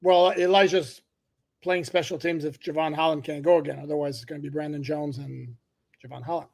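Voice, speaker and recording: A man whose first language is French, co-hosting a casual sports podcast with a joking, animated manner. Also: on the high side, a little fast, gravelly. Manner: joking, animated, laughs at his own jokes. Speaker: podcast co-host.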